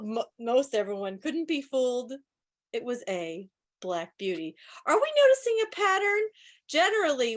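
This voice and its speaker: High-voiced woman hosting casual trivia. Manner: wry, joking asides. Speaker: quiz host. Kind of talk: casual live trivia commentary. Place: online video meeting.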